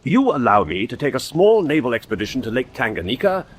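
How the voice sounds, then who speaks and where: A man playing a confident, brisk big game hunter in a radio drama, formal and direct. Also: mid-range voice, very animated, slightly rough. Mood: confident, persuasive.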